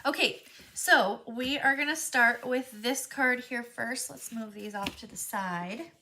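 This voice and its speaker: A woman's voice: fairly high pitch, a little slow, pushed and bright, slight background noise. A woman leading a live crafting class in a chatty, friendly way, with frequent ums and a stuffy, froggy voice from a cold. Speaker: craft instructor.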